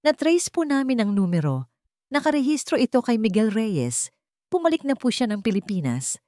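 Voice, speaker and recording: A woman's voice: a little high, sing-song, normal speed, dark tone, no room sound, clean studio sound, voice pushed up. A woman voicing a polite private investigator who reports matter-of-factly, with a Filipino accent. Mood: careful. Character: deferential private investigator reporting to wealthy client.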